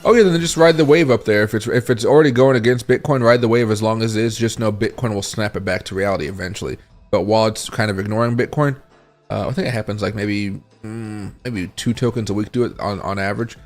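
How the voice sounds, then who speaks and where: A tired man with a raspy voice hosting a casual crypto livestream, thinking aloud as he reads charts and answers chat. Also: lowish voice, quick pace, soft background music. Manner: rambling, thinks aloud while scrolling charts and reading chat.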